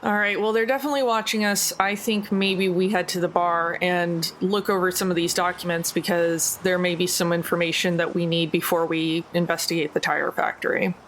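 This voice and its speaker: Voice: mid-range voice, steady tone, brisk, few pauses, fairly bright tone, a little hiss or hum, low-quality recording. A player in a tabletop role-playing game, decisively planning the group's next moves in casual chat.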